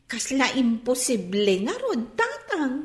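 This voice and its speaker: A woman's voice: high voice, wildly swooping pitch, slow, roomy sound, exaggerated voice. A woman playing a worried, troubled mother in a Filipino radio drama, sighing and exclaiming expressively. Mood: gossipy, concerned. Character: worried mother, gossiping and troubled about her child.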